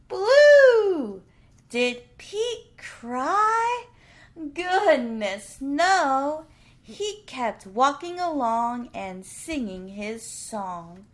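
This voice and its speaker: A woman reading a picture book aloud to preschool children in an exaggerated, drawn-out way, putting questions to young listeners. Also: sits high, very animated.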